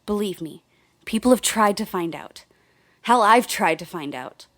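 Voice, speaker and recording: A woman's voice: mid-range voice, expressive, measured pace, fairly bright tone. A woman playing a blunt, sardonic woman in her thirties giving candid first-person narration in a radio play. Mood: candid, intent. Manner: frank, sardonic, swears.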